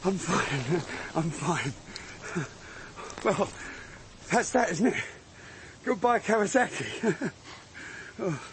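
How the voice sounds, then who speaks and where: An actor playing a brisk, commanding young man on the run in a radio drama. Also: high voice, very animated, background noise, exaggerated voice. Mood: matter-of-fact.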